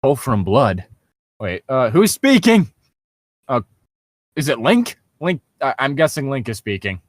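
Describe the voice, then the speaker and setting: A man's voice: medium pitch, very animated, measured pace, no echo. A man reading a story aloud as a show co-host, breaking in with sarcastic, nitpicking commentary in a casual way. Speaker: co-host of a comedic fan fiction reading show.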